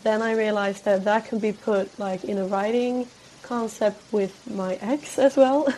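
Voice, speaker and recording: A young Swedish woman speaks English with a clear Swedish accent, calling in as a guest. She sounds tired and searches for words, with lots of "uh" and "like", and laughs often between phrases. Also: medium pitch, steady tone, measured pace, warm tone, a little hiss or hum, rough recording. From Sweden. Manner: hesitant, many fillers, frequent laughs. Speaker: pop singer guest. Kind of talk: casual call-in interview. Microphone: phone call-in.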